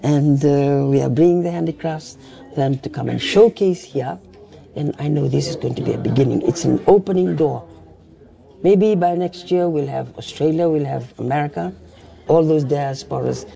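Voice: medium pitch, normal speed, creaky, dark tone, soft background music. A speaker with a Fijian accent explaining things in an interview, emphatic and checking in with short tag questions. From Fiji. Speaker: craft society representative.

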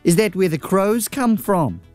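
A man's voice: high voice, big pitch swings, a little slow, darkish tone, soft background music, voice pushed up. A man voicing a sneering teenage boy who bullies a classmate with mocking taunts.

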